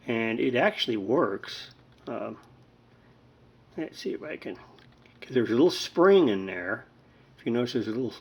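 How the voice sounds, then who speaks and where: A man chatting casually in an unhurried, rambling, folksy way, as a hobbyist host of a home repair video recorded indoors. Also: medium pitch.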